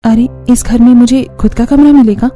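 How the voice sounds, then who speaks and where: A woman's voice: fairly high pitch, steady tone, normal speed, mellow, soft background music, natural voice. A woman playing a timid, anxious young bride in a cartoon family story, apologetic and worried in manner. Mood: amazed, wary. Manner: apologetic, worried, wide-eyed.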